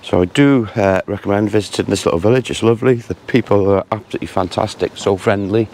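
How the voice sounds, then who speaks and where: Chatty guy vlogging a walk through a village, low voice, lots of uh's, bit of traffic hum outside. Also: creaky. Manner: relaxed, chatty, with frequent "uh" pauses. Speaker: travel vlogger. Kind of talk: casual walking-tour commentary. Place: outdoors on village streets.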